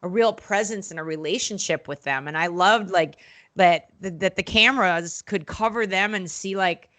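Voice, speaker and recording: A woman with a bright, sharp voice talks on a podcast as a guest. She tells stories bluntly and animatedly, breaking into voices when she quotes people. Her talk runs on with fillers and restarts. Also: fairly low pitch, moderate pace. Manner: animated storytelling, acts out quotes in other voices. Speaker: podcast guest, former wrestling interviewer and manager. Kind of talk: casual podcast interview.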